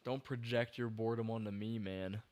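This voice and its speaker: A man's voice: lowish voice, fairly even intonation, normal speed, darkish tone, no echo. Young man gushing about a film, close dry mic. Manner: rambling, restarts sentences, talks to chat viewers. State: slightly tired. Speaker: podcast host livestreaming a film breakdown. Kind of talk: casual enthusiastic film commentary to chat.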